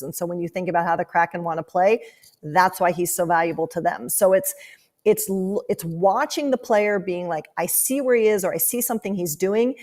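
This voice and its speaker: A woman's voice: mid-range voice, a little mellow. A woman speaking as a guest sports analyst in a podcast interview, explaining her thinking conversationally.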